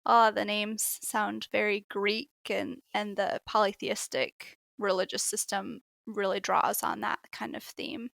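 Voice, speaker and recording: Gravelly, bright-voiced woman chatting books. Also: mid-range voice, a little slow, hardly any pauses, dry recording. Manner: chatty, animated storytelling. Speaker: podcast co-host. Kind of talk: casual book chat.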